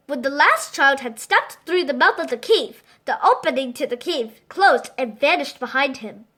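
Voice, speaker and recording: High-pitched, animated woman storyteller. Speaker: children's storybook reader. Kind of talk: scripted read-aloud narration for children.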